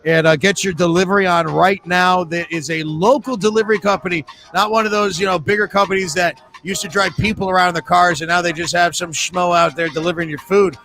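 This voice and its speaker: A man's voice: high-pitched, fairly even intonation, fairly quick, hardly any pauses, sharp tone, soft background music. A man hosting a live trivia game, joking with players and rambling through sponsor reads.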